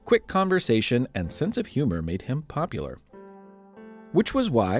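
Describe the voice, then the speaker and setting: A man's voice: lowish voice, darkish tone, no echo, studio quality. A man narrating scripted history as a podcast host, in a playful, conversational storytelling way with dramatic emphasis.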